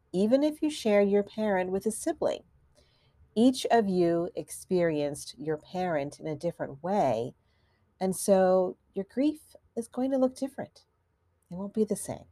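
A woman who is a counselor hosting a grief podcast, talking gently and in a measured way, a little stuffy from allergies. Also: on the low side, dry recording, clean studio sound. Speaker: podcast host and licensed counselor. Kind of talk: solo podcast talk.

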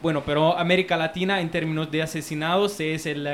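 A young man with a fairly high voice talks slowly and thoughtfully, often pausing and restarting. A faint hiss sits under the recording. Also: fairly even intonation, fairly bright tone. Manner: hesitant, thoughtful, uses vos. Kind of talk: casual chat. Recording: faint background noise.

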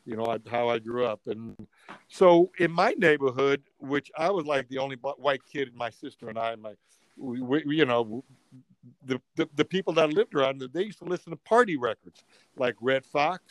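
An older man born in Italy, a comedian telling stories in a casual chat, animated and full of restarts. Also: medium pitch, moderate pace, no echo, low-quality recording. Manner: animated storyteller, many restarts and fillers. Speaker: comedian guest.

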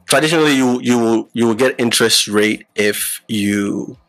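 A man's voice: mid-range voice, normal speed, a bit bright, faint music behind, low-quality recording. A man who grew up partly in Ghana, a podcast guest explaining things casually with many fillers and restarts. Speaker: podcast guest, app founder. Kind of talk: casual explanatory interview talk.

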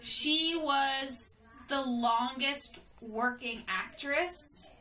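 A woman co-hosting a podcast in casual chat, upbeat and quick to cheer along, with a cough. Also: high-pitched, bright, forceful tone. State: has a cough. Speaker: podcast co-host.

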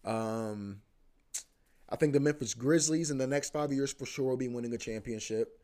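A man's voice: medium pitch, normal speed, a little mellow. A man hosting a podcast, rambling casually about sports, repeating himself, swearing and saying "bro" a lot. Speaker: podcast host.

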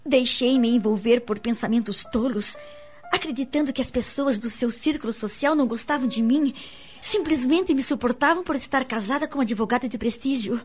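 A woman's voice: on the high side, normal speed, lightly acted. A woman with a Brazilian accent playing an anxious, insecure wife in a radio soap opera, earnest and formal in her melodramatic delivery. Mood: anguished confession. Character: anxious, insecure young wife and mother, pleading. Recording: dull lo-fi radio drama, music underneath.

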